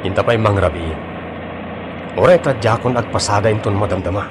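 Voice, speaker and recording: An actor with a Filipino Ilocano accent playing a polite, determined suitor of twenty-three in a radio drama, with a husky voice. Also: male voice, fairly low pitch, brisk, background noise, natural voice. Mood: earnest, reassuring. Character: earnest 23-year-old suitor, polite and determined.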